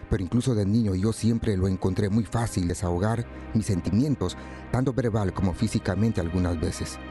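An actor playing a grown man who looks back on a hot-tempered youth, narrating a scripted radio drama in a measured, reflective way. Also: fairly low pitch, warm tone, close to an everyday voice.

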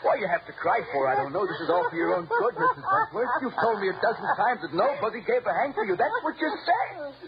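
A man playing a soft-spoken, menacing gardener who turns out to be a killer in an old-time radio drama, calm, coaxing and creepily teasing. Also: medium pitch, measured pace, over a noisy crowd. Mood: unhinged glee. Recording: dull lo-fi radio recording with hiss.

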